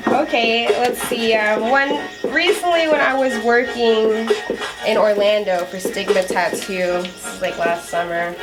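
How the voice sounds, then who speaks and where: A woman's voice: medium pitch, low-quality recording. A chatty woman around twenty, born in Japan, a tattoo artist answering interview questions casually and laughing often. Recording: loud background music. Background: loud music.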